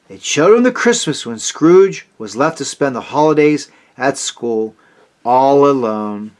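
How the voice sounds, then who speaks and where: Male storyteller, higher-pitched voice, highly varied intonation, dramatic read-aloud for children. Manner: expressive, dramatic delivery.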